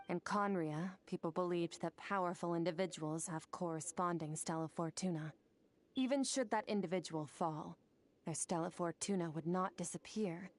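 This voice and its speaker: A woman's voice: lowish voice, lightly acted. A woman voicing a cold, aloof and mysterious female warrior in a video game, who speaks in a curt, commanding way. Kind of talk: video game cutscene voice acting.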